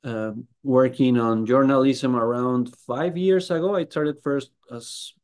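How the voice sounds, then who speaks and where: A man's voice: mid-range voice, unhurried, a little mellow, smooth. A middle-aged man with a Peruvian Spanish accent talking about his work in an interview. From Peru. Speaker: health researcher and journalist interviewee. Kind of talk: interview talk about career. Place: book-lined room, video call.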